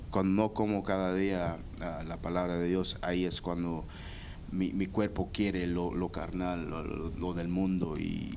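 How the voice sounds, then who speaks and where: Low-voiced Guatemalan man, halting testimony. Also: darkish tone, a little hiss or hum. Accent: Guatemalan Spanish. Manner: hesitant, many restarts and repeated words. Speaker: guest giving testimony.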